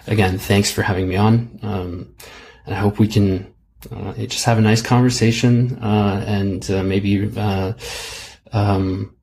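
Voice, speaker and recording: A man's voice: fairly low pitch, vocal fry, a little mellow, slight background noise. A man being interviewed as a guest, talking casually over a video call and hesitating with many fillers. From Germany.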